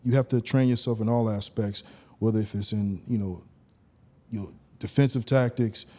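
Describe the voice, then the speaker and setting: Laid back podcast host guy, warm mellow voice, chatty and loose. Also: on the low side, moderate pace. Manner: relaxed, conversational, many "you know"s. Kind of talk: casual podcast conversation.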